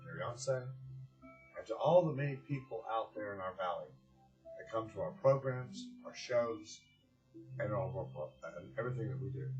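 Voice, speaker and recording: A man speaking earnestly and deliberately with pauses, as a presenter giving a heartfelt address and storybook reading. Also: fairly low pitch, highs cut off. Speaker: director of a community organization, presenter.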